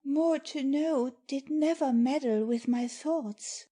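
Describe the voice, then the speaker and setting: Woman as sweet upset young maiden in an audiobook play, high voice, slow and pleading. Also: mellow. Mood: meek. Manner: pleading, theatrical. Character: tender, distressed young daughter of a sorcerer. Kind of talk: dramatic audiobook reading of verse.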